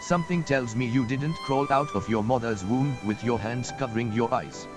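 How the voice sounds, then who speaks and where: A man playing a gruff, folksy old mentor. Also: medium pitch, moderate pace, natural voice. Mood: encouraging. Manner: folksy, wry, encouraging. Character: older male mentor, gruff and encouraging. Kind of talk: game voice acting. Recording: studio voice with game music behind.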